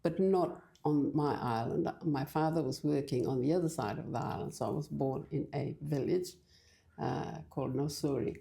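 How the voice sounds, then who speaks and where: An older woman from Fiji, talking in a halting, hesitant way as a guest in a casual church interview. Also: deep voice, gravelly, warm tone. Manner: hesitant, halting with many restarts.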